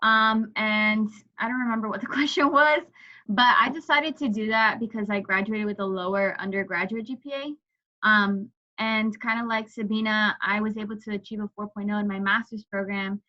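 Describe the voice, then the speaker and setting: A woman's voice: mid-range voice, moderate pace, sharp tone. A woman medical student on a video-call panel, earnestly and reflectively explaining her own application choices. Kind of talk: casual account on a video call. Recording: dull lo-fi video call audio.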